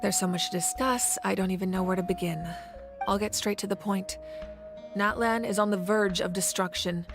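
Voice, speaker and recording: A woman's voice: medium pitch, steady tone, natural voice. A woman as a grave, commanding leader, music behind. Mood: grave, composed. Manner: measured, grave, authoritative. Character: composed, commanding female Pyro Archon, solemn leader of a nation. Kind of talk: dramatic game voice acting. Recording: music under the voice. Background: background music.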